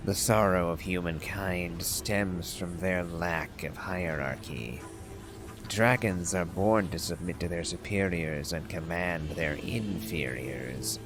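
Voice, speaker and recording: A man's voice: low voice, takes it slowly, music in the background. A man voicing a proud, stern ancient dragon from a game, in formal, declamatory phrases. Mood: cold, imperious. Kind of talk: dramatic reading of game lore.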